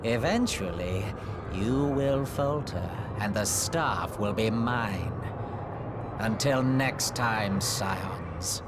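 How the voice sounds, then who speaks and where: An actor playing an arrogant, menacing sorcerer villain in a fantasy video game, with a theatrical, deliberate delivery. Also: male voice, lowish voice, expressive, loud music behind the voice. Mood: gloating menace. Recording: loud background noise under the voice.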